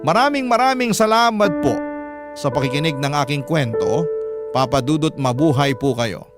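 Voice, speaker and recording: A man narrating a letter as a radio drama host, sentimental and dramatic, with drawn-out phrasing. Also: a little high, animated. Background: prominent music.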